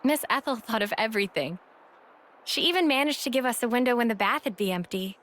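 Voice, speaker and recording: Breezy girl in a game, young adult, high n bright, teasing and chatty. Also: fairly quick. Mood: friendly, reassuring. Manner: playful, casual, expressive. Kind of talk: video game cutscene acting.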